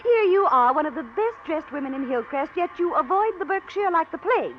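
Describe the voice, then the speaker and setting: Pushy radio socialite, high swooping voice, effusive, insistent, old-time radio drama. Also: female voice, lively intonation, brisk. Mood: gushing. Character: gushing, pushy society woman friend. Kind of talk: 1940s radio drama acting. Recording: noisy muffled vintage radio recording.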